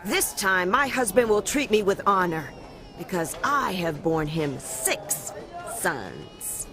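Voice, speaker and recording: A woman's voice: medium pitch, sing-song, slow pace, music in the background. An actress playing a proud, yearning wife and mother in an audio Bible drama.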